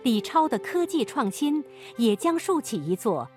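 A woman's voice: fairly high pitch, sing-song, unhurried, over music. A woman delivering scripted narration for a radio drama.

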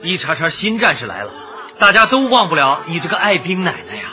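An actor in a radio drama playing an earnest, grateful squadron leader with a heartfelt, declaiming manner. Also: male voice, a little high, big pitch swings, a little fast, bright, forceful tone, exaggerated voice. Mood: warm, wistful. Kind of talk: Chinese radio drama acting. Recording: muffled, faint music behind.

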